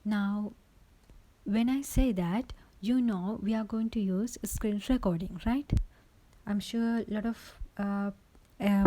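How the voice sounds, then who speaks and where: A woman's voice: medium pitch, dark tone, poor audio. A woman presenting an informal how-to voice-over recorded on a phone's built-in microphone, explaining step by step and often checking in with the listener. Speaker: tutorial presenter.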